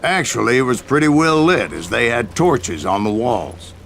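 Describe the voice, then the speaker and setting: An actor playing an aging, wry Old West bounty hunter, telling stories in a folksy American voice. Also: male voice, medium pitch, animated, moderate pace, gravelly, background noise. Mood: wry, reminiscing. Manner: folksy, wry, colorful turns of phrase. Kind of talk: scripted storytelling narration.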